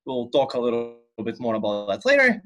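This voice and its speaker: A man's voice: medium pitch, wildly swooping pitch, fairly quick, fairly bright tone. A man from Croatia in his twenties, a PhD student, explaining his research step by step in an interview.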